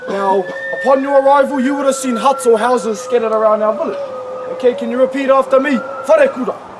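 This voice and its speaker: A man's voice: very high voice, brisk. A man guiding visitors and having them repeat words after him. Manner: instructive, leads repetition. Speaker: cultural village guide. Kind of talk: teaching visitors, call and response. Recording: noisy live recording.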